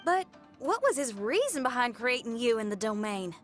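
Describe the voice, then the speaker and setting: A woman's voice: a little high, very animated, normal speed, soft background music. A woman voicing a bold, upbeat young female rock musician in a video game, colloquial, punchy and enthusiastic. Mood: curious, alert. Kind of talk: animated video game voice acting.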